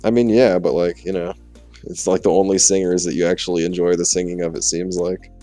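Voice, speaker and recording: A man's voice: deep voice, level tone, brisk, warm tone, no echo, faint music behind. A man co-hosting a gaming channel, chatting casually with a joking, self-mocking manner. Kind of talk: casual banter during gameplay.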